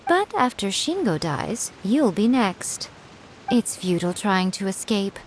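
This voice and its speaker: A woman voicing a poised, teasing shrine priestess with a deliberate, mocking and coolly menacing manner in a video game. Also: mid-range voice, very animated, slight background noise, poor audio. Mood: coolly threatening. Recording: music under the voice. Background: game music.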